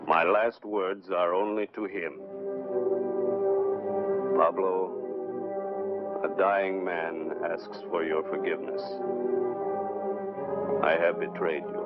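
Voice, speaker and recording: An actor playing a condemned revolutionary, solemn and declamatory, in 1950s film melodrama style. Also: male voice, low-pitched. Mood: remorseful, solemn. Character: condemned male revolutionary, remorseful, defiant to the end. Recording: tinny old film soundtrack under loud music.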